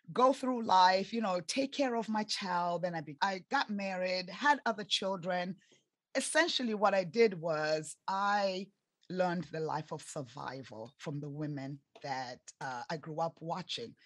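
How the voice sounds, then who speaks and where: A woman's voice: on the low side, dry recording. A woman in her forties with an East African accent, earnestly telling her life story as a podcast guest. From Tanzania and Zambia. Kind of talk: interview storytelling.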